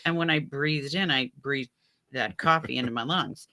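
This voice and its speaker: A woman's voice: a bass voice, moderate pace, bright, forceful tone. A woman chatting casually about spiritual experiences, telling anecdotes and acting out bits of remembered conversation. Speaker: guest on a spiritual radio show.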